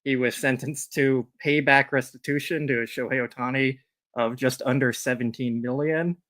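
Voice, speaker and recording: A man co-hosting a casual sports chat, giving news in an even, matter-of-fact way. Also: medium pitch, normal speed. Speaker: co-host, managing editor.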